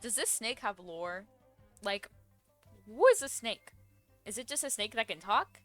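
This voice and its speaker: Bright-voiced woman reacting playfully. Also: medium pitch, animated, a little slow, soft background music. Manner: playful, sarcastic, questioning. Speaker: streamer reacting to a game story. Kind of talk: casual reaction commentary.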